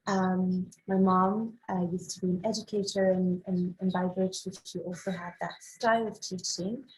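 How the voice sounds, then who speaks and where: A young woman from Morocco speaks as a podcast guest, with a steady, fairly flat tone. She answers thoughtfully, pausing on ums as she works out what she means. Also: lowish voice, low-quality recording. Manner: thoughtful, measured, with frequent ums. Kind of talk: casual interview talk.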